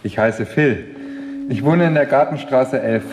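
A man's voice: medium pitch, unhurried, background noise, close to an everyday voice. An actor playing an infantile grown man who talks like a polite eight-year-old boy in a radio drama. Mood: childlike, polite. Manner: childlike, simple, polite. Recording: echoey hall with long reverb.

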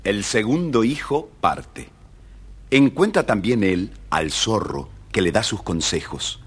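A man with a husky voice narrating a children's fairy tale dramatically, as a scripted storyteller. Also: on the low side, animated, takes it slowly.

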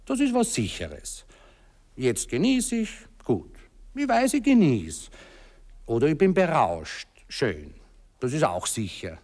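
An actor with a Viennese accent playing a courtly, hesitant aristocratic cavalry officer who stammers politely and philosophises in a 1960s radio play. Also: male voice, mid-range voice, huge pitch swings, takes it slowly, a little mellow, heavily acted. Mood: musing, rambling. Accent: Viennese Austrian. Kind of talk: 1960s German-language radio drama acting.

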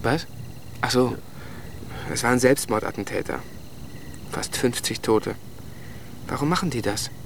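Actor as a sensible son, medium pitch. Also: male voice, unhurried, long pauses, a little hiss or hum, lightly acted. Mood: concerned. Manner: reasoning, placating. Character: level-headed grown son, sensible confidant, placating. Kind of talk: naturalistic German radio drama acting.

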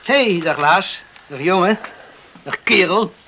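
A young island man in a radio play, rescued from a storm. His voice sits fairly high and rings very bright. He speaks earnestly, with halting, moved phrasing. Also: animated, a little hiss or hum, full character voice. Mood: grateful, warm. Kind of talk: radio drama acting.

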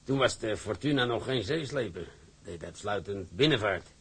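A man's voice: lowish voice, expressive, normal speed, breathy edge, exaggerated voice. An actor playing a fatherly shipping company director who speaks measuredly and kindly, with hesitant fillers.

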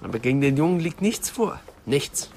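An actor playing a wry, teasing police assistant in a radio crime drama, talking in a colloquial, dryly ironic way. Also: male voice, medium pitch, animated, moderate pace, creaky, a little mellow, a little hiss or hum. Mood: dry, businesslike. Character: wry, teasing male police assistant reporting to his inspector.

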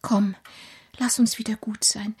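An actress playing a gentle, timid young mother in a radio play, with a soft, pleading, hesitant delivery and a breathy voice. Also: mid-range voice, little pitch movement, a little slow.

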